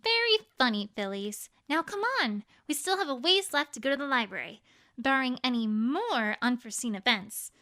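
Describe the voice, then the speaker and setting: A woman's voice: fairly high pitch, animated, normal speed, bright, forceful tone. A woman voicing an earnest, bookish young female unicorn in a cartoon-pony story, explaining things carefully and a little formally. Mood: mildly annoyed.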